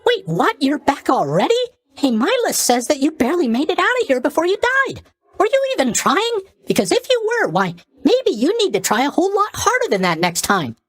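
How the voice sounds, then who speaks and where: A man's voice: very high-pitched, huge pitch swings, fairly quick, fairly bright tone, exaggerated voice. A man playing a sleepy, flippant young god of sleep in a video game, with a chirpy, scatterbrained, cheerfully tactless delivery. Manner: chirpy, scatterbrained, mock-sympathetic delivery with stammers and trailing questions. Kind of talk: video game character voice acting.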